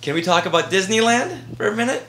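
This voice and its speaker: A man's voice: sits high, lively intonation, fast, bright, forceful tone. A man hosting a casual podcast interview with a child, asking simple questions in an encouraging way and echoing answers back.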